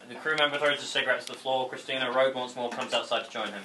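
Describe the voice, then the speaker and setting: Guy reading stage directions at a table read, really bright sharp voice, slight bg noise. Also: medium pitch, fairly even intonation, fast talker, rough recording. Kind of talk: scripted narration at a comedy table read.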